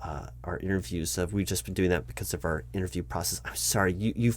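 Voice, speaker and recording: A man playing a nervous, polite recruiting manager in a job interview roleplay, speaking aloud in a halting, stammering, apologetic way. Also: low voice, fairly even intonation, a little hiss or hum, natural voice. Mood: businesslike. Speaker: roleplay performer. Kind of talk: one-sided job interview audio roleplay, spoken aloud.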